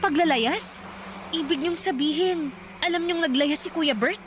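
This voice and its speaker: An anxious young granddaughter. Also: female voice, high voice, a little slow, noisy background. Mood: worried. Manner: anxious, questioning, emphatic. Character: young woman granddaughter, worried and outspoken.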